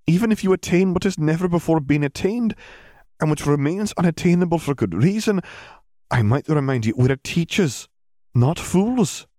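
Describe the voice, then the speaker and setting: Storybook role: strict older witch professor, played by a man, formal and measured, raised pitch, close dry recording. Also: lively intonation, mellow, dry recording, lightly acted. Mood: stern, exasperated. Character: stern older female magic school teacher.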